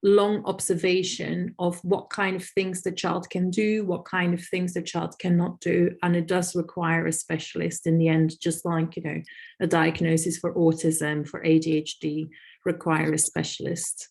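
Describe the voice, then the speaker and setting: A woman whose first language is Dutch, answering audience questions on a video call in a thoughtful, explanatory way with frequent pauses. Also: fairly low pitch, flat delivery, vocal fry, darkish tone. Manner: thoughtful, many "um" pauses, lists examples. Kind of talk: webinar Q&A, explaining.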